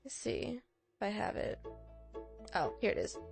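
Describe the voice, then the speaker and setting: Animated woman chatting on a comedy podcast. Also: mid-range voice, a little slow, gravelly, faint music behind. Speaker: podcast co-host. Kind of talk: casual comedic podcast chat.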